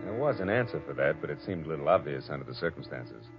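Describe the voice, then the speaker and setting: A low-pitched, tough American gumshoe in an old radio drama. He is sardonic and commanding, with hard-boiled phrasing. The sound is dull and dated, with music in the mix. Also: very animated, fast, heavily acted. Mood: wry narrating. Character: tough, wisecracking, cynical male private detective. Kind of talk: 1940s radio acting, hard-boiled first-person narration.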